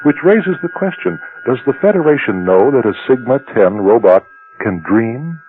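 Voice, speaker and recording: A man's voice: medium pitch, expressive, normal speed, noisy background. A man narrating a radio drama in a dramatic, scripted style. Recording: narrow-band old radio recording.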